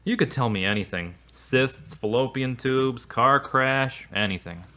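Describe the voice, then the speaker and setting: A man playing a devout, easily wounded boyfriend in an audio drama, earnest and expressive. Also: medium pitch, measured pace, clear, resonant tone. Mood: caring, mild. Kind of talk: acted audio drama dialogue.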